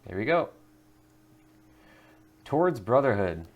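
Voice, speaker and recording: A man's voice: fairly low pitch, very animated, slow, lo-fi sound. A man hosting a gaming video, giving casual commentary and reading the game's text aloud. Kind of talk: casual game commentary with read-aloud narration.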